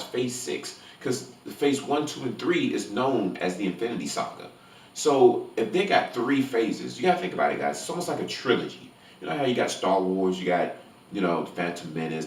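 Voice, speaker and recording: A man with a low voice talks like a fan reviewing a film for his vlog. He is emphatic and gushing, repeating words to drive them home. The sound is roomy and echoing, picked up some way from the microphone. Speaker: movie review vlogger. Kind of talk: casual spoiler-free film review to camera. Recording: roomy echo, distant from microphone.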